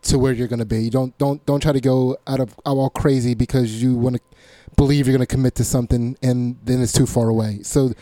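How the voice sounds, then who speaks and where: A man's voice: mid-range voice, little pitch movement, quick pace, warm tone, no room sound. A man hosting a podcast, chatting casually and often circling back to rephrase as he goes. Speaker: podcast host. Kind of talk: casual conversational chat.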